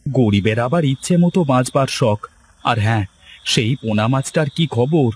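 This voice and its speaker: A man's voice: mid-range voice, animated, normal speed, slight background noise, lightly acted. A man voicing a poor village fisherman and husband in a cartoon story. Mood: weary, resigned. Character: poor village fisherman husband, humble, worried, later cocky. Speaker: cartoon character voice. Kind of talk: Bengali cartoon story dialogue.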